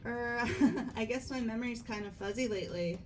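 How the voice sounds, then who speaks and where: A woman's voice: on the high side, moderate pace, distant mic, soft background music, natural voice. A woman voicing a sheepish, friendly teenage girl in a video game, with hesitant, stammering speech, a little away from the mic. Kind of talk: amateur voiced video game playthrough.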